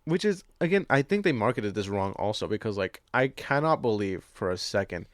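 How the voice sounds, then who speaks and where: A man's voice: on the low side, expressive, brisk, no room sound, studio quality. A man co-hosting a podcast, chatting casually and profanely about films, often laughing as he talks. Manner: loose, profane, laughs while talking. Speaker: podcast co-host. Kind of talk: casual chat, film discussion.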